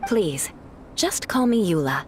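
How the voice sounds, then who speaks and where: An actress playing a poised, haughty young female knight captain in a video game, cool and measured. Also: fairly low pitch, sing-song, slight background noise. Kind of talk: video game character acting.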